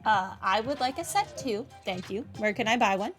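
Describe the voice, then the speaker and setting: A woman's voice: a little high, animated, moderate pace, a bit bright, faint music behind. A woman gaming streamer giving casual playthrough commentary in a wry, questioning way. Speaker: gaming streamer playing through a story.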